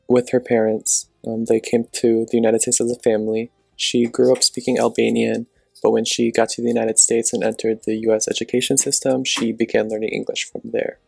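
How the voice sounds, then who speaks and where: A young man, a student, reading the narration for a class project in an even, read-aloud way. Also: mid-range voice, gravelly, breathy edge, dry recording.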